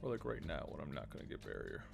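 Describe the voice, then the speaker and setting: A man's voice: a bass voice, wildly swooping pitch, quick pace, creaky. A man giving casual live gameplay commentary as a streamer, thinking aloud and muttering to himself. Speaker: game streamer. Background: game music.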